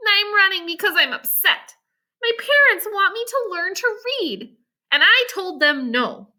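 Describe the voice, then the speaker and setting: A woman reads as a headstrong young farm girl from a storybook. The voice is very high and bright, swinging with earnest, emphatic feeling. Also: sing-song, a little slow, exaggerated voice. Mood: upset, defiant. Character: spirited, headstrong young farm girl who warms to reading.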